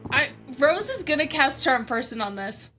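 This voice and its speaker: A woman's voice: sits high, big pitch swings, normal speed, pushed and bright, faint music behind. A woman chatting casually and reacting as a player in a tabletop roleplaying game.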